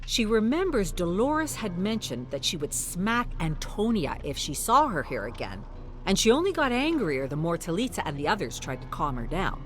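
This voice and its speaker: A narrator reading a scripted story in an expressive storytelling manner. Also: mid-range voice, moderate pace. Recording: music under the voice. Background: music.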